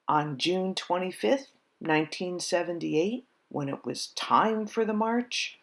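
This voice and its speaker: A woman reading a children's picture book aloud in measured, deliberate phrases with dramatic pauses. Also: on the low side, gravelly. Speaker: storybook reader at a church service.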